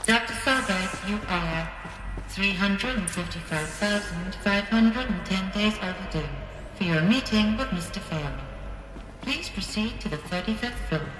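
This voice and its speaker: An actress voicing a courteous, emotionless corporate computer in a video game, formal and scripted. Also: female voice, medium pitch, animated, unhurried, music in the background, lightly acted. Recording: echoey hall, long reverb.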